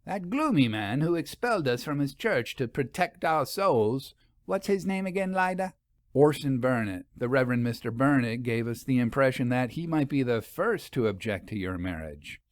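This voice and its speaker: A man's voice: medium pitch, lively intonation, moderate pace, no echo, clean studio sound, lightly acted. A man voicing a genial, self-assured lady ghost hunter with a genteel, wry manner, in a storybook reading. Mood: probing.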